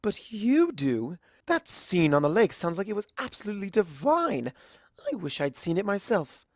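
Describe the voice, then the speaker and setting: A woman's voice: medium pitch, sing-song, normal speed, no echo, studio quality, pitched above the performer's own voice. A woman voicing a refined, romantic, ladylike cartoon unicorn with a genteel, effusive manner. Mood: dreamy, reassuring.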